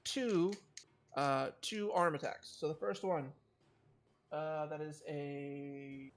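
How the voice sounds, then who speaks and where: Higher-voiced casual man running a game. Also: steady tone, slow. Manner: casual, swearing. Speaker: game master. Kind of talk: improvised tabletop game narration.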